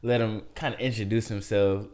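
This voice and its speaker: A man's voice: lowish voice, steady tone, moderate pace, a little mellow. Laid-back man hosting a podcast. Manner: laid-back slang-heavy questions. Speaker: podcast host. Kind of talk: casual podcast interviewing.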